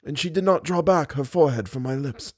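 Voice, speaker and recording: A man voicing a weak, dying masked man who is lovesick and broken, speaking in halting short phrases and gasping for breath. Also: mid-range voice, animated, darkish tone, husky, no room sound, studio quality. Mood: pleading.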